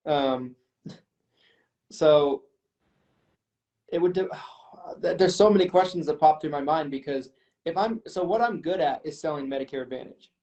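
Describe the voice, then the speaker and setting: A man's voice: on the high side, normal speed, pauses often, warm tone. A man in casual chat, thinking aloud with ums and a self-deprecating manner. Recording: slightly dull lo-fi audio.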